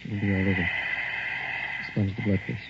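A man's voice: on the low side, little pitch movement, normal speed, vocal fry, highs cut off. A man playing a grave, resigned surgeon with clipped delivery in a noisy old radio drama. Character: resigned, matter-of-fact male surgeon. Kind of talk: 1960s radio drama acting.

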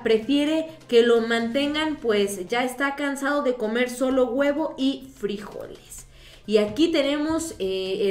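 A woman's voice: a little high, echoey room. A young woman with a Mexican accent, hosting news commentary to camera. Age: young adult. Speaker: news host.

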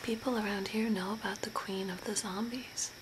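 A young woman playing an eerie, soothing zombie-makeover artist in a soft, breathy ASMR whisper, slow and deliberate. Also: medium pitch, steady tone, close to an everyday voice. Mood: hushed, eerie. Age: young adult. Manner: slow, hushed, deliberate, spooky. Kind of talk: whispered ASMR role play. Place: small room at home by a window. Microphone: close camera at arm's length. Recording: noisy home recording with ambience.

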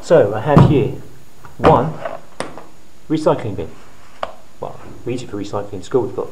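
Clear background noise sits behind a middle-aged man recorded in a classroom. His voice is medium in pitch. He talks through a practical demonstration step by step, in short, plain phrases, as a teacher would. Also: lively intonation, moderate pace. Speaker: physics teacher presenting a how-to video. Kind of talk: hands-on demonstration tutorial. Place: indoor school science classroom. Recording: clearly noisy room, handling clicks.

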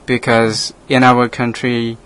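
A man from Laos, a native Lao speaker, hosting a radio talk and speaking haltingly with many restarts. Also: medium pitch, monotone, fairly bright tone, slight background noise, low-quality recording. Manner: halting, hesitant, frequent restarts, laughs often. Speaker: radio program host, monk. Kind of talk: casual conversation in English.

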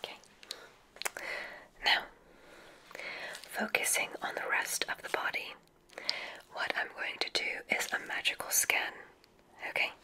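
A woman playing a gentle, reassuring witch examiner in a whispered ASMR medical roleplay, giving careful, soothing instructions in a breathy voice. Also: a little slow, long pauses, rough recording.

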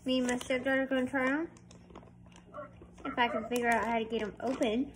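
A woman's voice: a little high, steady tone, a little fast, noisy background. A woman in her thirties casually reviewing snacks for a home vlog, talking plainly and homespun into a phone held at arm's length in her living room. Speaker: vlogger. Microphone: phone camera at arm's length.

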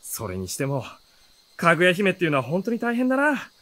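A man playing an easygoing, teasing young traveler in a Japanese historical fantasy radio drama, with a relaxed, bantering manner. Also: high-pitched, fairly quick, exaggerated voice. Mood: curious, friendly. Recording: music under the voice.

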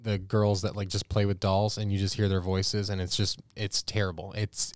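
A man's voice: deep voice, little pitch movement, moderate pace, smooth, no echo, studio quality. A man co-hosting a podcast, teasing and joking in casual chat. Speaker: podcast co-host.

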